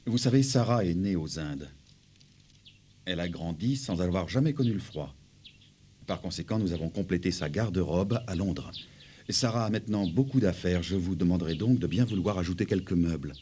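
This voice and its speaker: An actor playing a courteous, doting middle-aged gentleman father in a French cartoon dub, speaking politely and formally. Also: low voice, lively intonation, normal speed, slight background noise. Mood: courteous, fond.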